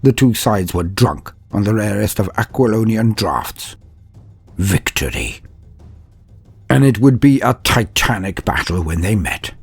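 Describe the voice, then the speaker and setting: A man's voice: on the low side, animated, measured pace, creaky, slightly rough, dry recording. A man narrating a comedic fantasy war story in a dramatic, mock-epic way with wry asides. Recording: dry close recording with faint music.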